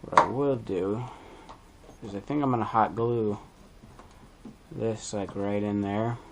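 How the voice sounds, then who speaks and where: Low-voiced man giving a casual DIY walkthrough. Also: fairly even intonation, takes it slowly, a bit bright, slight background noise. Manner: informal, thinking aloud while working. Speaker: DIY video host. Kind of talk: casual hands-on tutorial talk.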